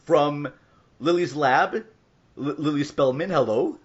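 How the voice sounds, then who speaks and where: A man's voice: fairly high pitch, slow pace, husky. A man hosting a podcast in a casual, somewhat hesitant and apologetic way, with informal introductions. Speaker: podcast host. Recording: dull lo-fi voice recording.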